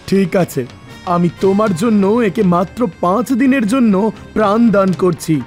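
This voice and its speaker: A man's voice: high-pitched, measured pace, a little mellow. A man voicing a stern, unyielding god of death in a cartoon, pronouncing verdicts solemnly. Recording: music under the voice.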